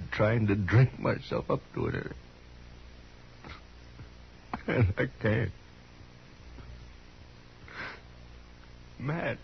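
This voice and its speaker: An actor playing a drunken, ashamed old braggart father in a 1950s radio western, with a rural American West accent and slurred, halting speech. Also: on the low side, gravelly, full character voice. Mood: ashamed, broken. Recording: muffled, noisy old radio recording.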